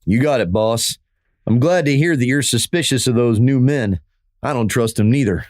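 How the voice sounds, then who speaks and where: A man's voice: medium pitch, normal speed, a little mellow, lightly acted. A man plays a ranch hand in a radio Western, with a mid-range voice. He sounds folksy and American, loyal to his boss and wary of strangers. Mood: wary, obedient. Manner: folksy, plain-spoken. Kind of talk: old-time Western radio drama acting.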